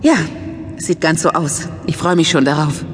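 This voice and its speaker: A woman's voice: deep voice, steady tone, normal speed, soft background music, lightly acted. A woman playing a composed, curious journalist in a science fiction audio drama, reflective and polite. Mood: gracious. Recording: strong ambient noise behind the voice.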